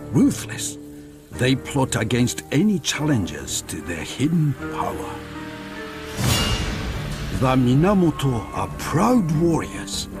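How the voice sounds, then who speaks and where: An actor playing a solemn, proud narrator of samurai history, giving dramatic, emphatic video game cutscene narration. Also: male voice, medium pitch. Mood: ominous.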